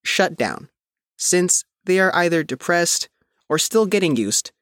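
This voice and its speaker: A man reading a news article as its reporter, in measured, phrase-by-phrase scripted narration. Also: high voice, animated, a bit bright, no echo, clean studio sound. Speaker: reporter reading their own written article.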